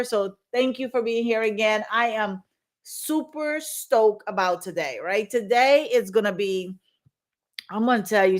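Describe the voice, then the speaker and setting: A woman hosting a casual livestream as a dating and marriage coach, bubbly and excited, talking in rambling run-on sentences. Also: mid-range voice.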